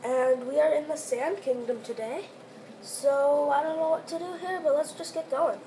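Excitable high-voiced woman, worn voice. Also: normal speed, a little hiss or hum, rough recording. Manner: excitable, exclaims often. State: sick, losing voice. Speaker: gameplay commentator. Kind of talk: let's play commentary.

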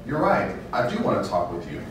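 A blunt, exasperated classmate played by a man speaks in a lowish voice. The audition scene is recorded from a distance in a very echoey room with clear noise. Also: wildly swooping pitch, fairly quick. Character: blunt, impatient male high-school classmate.

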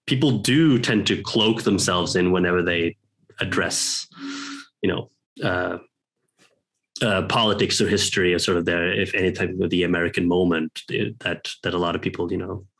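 Low-voiced man, hesitant. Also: steady tone, a bit bright. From Norway. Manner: hesitant, thoughtful, many pauses and restarts. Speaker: guest, professor. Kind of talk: reflective interview talk. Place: home room with bookshelves. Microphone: headphones on a video call.

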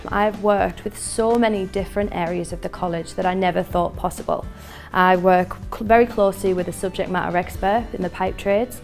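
A woman's voice: mid-range voice, a little mellow. A woman, a skills teacher, speaking enthusiastically with some fillers in a studio interview. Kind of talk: testimonial interview. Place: studio with dark backdrop. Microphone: lapel mic.

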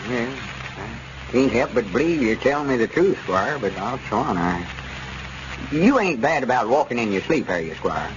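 A man's voice: medium pitch, sing-song, normal speed, natural voice. Old rural Southern rustic, played by a male actor: trusting, folksy drawl, golden-age radio comedy, dull noisy recording. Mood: puzzled. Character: folksy old country storekeeper turned circus owner, slow and trusting. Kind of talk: 1930s-40s radio comedy acting.

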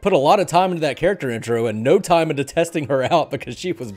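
Lively, higher-pitched man hosting. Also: fast, soft background music. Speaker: podcast host. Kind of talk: casual gaming podcast hosting. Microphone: headset.

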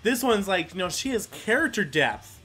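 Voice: mid-range voice, sing-song, normal speed, fairly bright tone, husky, faint music behind. An enthusiastic, chatty gaming YouTuber, giving casual let's play commentary.

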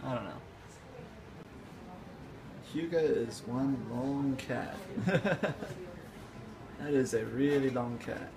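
A man's voice: mid-range voice, slow, pauses often. A young man vlogging from an indoor cafe on a phone held in his hand, giggling and cooing to the animals around him. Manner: giggly, talks baby-talk to cats. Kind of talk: casual vlog. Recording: phone audio with room noise.

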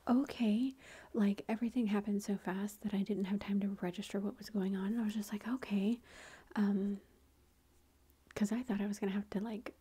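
A woman whispering through a long personal story, with many fillers and quoted lines of dialogue. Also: medium pitch. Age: adult with a son. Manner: whispering, soft and breathy. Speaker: vlogger.

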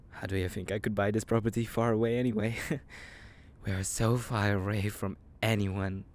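A man's voice: fairly low pitch, vocal fry, slight background noise. A man playing a psychotic, possessive young kidnapper in an intimate audio roleplay, cooing menacingly in half whispers with creepy giggles.

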